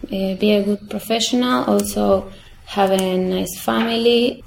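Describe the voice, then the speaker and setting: A woman's voice: medium pitch, steady tone, a little slow, vocal fry, reverberant, slight background noise, rough recording. A woman, a student speaking simple English with fillers. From Argentina. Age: 20s. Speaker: language student. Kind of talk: casual podcast interview.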